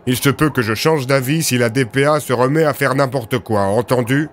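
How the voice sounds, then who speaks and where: A man's voice: medium pitch, normal speed, slight background noise, natural voice. A man playing a stern lawman in an audio drama, dry, firm and formal, with a sarcastic, threatening edge. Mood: stern, warning.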